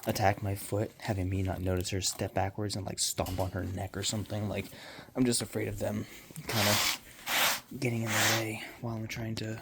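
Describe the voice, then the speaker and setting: A man chatting casually while cleaning his living room, meandering and offhand, with quiet mumbled asides, into a handheld phone. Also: on the low side. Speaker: vlogger and pet owner. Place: home living room, indoors. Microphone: handheld phone camera. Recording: loud background noise.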